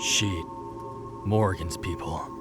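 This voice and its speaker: Casting: male actor as terse fantasy fighter, forceful and unhurried, music behind. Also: low-pitched. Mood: grim. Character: curt, intense male demon-fighting squad leader. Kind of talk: audio drama acting.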